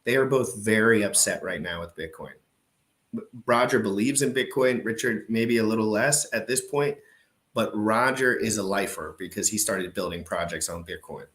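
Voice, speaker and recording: A man with a fairly low voice talks casually to a live chat, stopping a clip to fill viewers in on what is going on. His delivery is loose and off-the-cuff, with frequent restarts. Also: normal speed, a bit bright. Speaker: show host reacting to an old debate clip.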